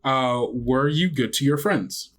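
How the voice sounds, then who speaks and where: A man narrating as game master of a tabletop podcast, unhurried and often pausing on fillers. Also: medium pitch. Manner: unhurried, hesitant with many fillers.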